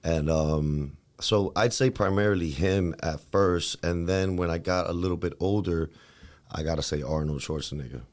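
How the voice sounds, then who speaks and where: Podcast guest, man from Miami, very low bass voice, loose rambling chat. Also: moderate pace, a little mellow. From Miami, Florida, USA. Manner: loose, rambling, many fillers and restarts. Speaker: guest, fitness trainer. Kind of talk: casual interview talk.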